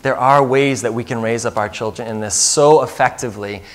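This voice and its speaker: A man's voice: medium pitch, a little fast. A man in his thirties preaching a sermon as pastor, earnest and putting questions to the congregation, recorded in a church sanctuary.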